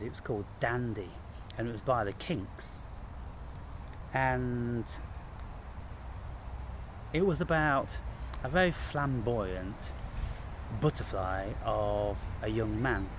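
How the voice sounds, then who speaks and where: A man giving an unscripted spiritual monologue, speaking in halting, emphatic phrases with deliberate pauses. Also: medium pitch, background noise. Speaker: spiritual vlogger and author.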